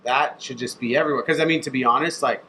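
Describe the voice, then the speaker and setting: Man, chef, bright forceful voice, casual swearing, acts out others' lines, faint background noise. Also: medium pitch, fast talker. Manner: swears freely, acts out other people's messages. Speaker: chef and brand founder. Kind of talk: casual interview talk.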